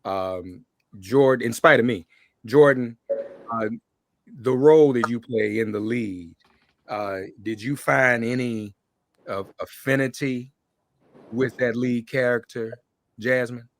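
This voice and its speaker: A man hosting a podcast interview in a conversational, somewhat halting way. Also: medium pitch.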